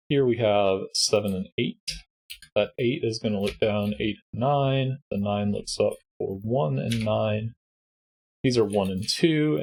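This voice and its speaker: A man with a congested, husky voice, calmly walking through a sudoku puzzle step by step as host. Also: fairly low pitch, rough recording. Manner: patient step-by-step explaining. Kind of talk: sudoku walkthrough.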